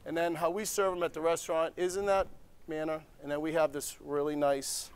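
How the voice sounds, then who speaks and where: Chef explaining a dish, easygoing. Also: male voice, fairly high pitch, flat delivery, fairly quick, clean tone. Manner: easygoing, explains step by step with frequent "okay?". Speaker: restaurant chef. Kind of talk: cooking demonstration. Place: TV studio kitchen set.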